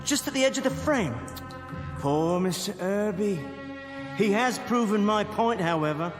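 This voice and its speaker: An actor playing a precise, self-assured scientist and criminal mastermind in a radio drama, with formal, clipped diction. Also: male voice, high-pitched, expressive, unhurried. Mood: coolly vindicated. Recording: hall-like reverb with faint music.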